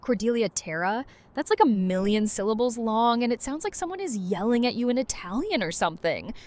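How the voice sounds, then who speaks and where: A woman's voice: a little high, expressive, brisk, darkish tone, natural voice. A woman playing a wry, blunt survivor and loyal friend in an audio drama, casual and teasing.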